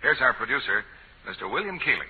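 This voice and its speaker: A man delivers vintage radio announcements and sales copy. His voice is very husky and highly animated. The sound is narrow-band, with hall reverb. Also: quick pace. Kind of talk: 1940s scripted commercial announcing.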